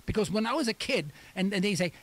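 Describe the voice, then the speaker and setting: A man in his late sixties, speaking in a high voice with wide swings in pitch. He hosts a radio talk show and delivers an emphatic monologue, often repeating words for stress. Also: expressive, fast. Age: 60s.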